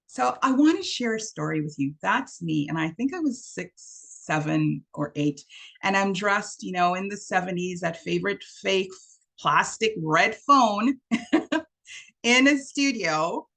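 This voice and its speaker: A woman's voice: medium pitch, animated, measured pace, creaky. A woman in her fifties from Trinidad, giving an online workshop talk and telling stories with quoted lines, over a video call. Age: 50s. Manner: warm storytelling, acts out quotes. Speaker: workshop speaker.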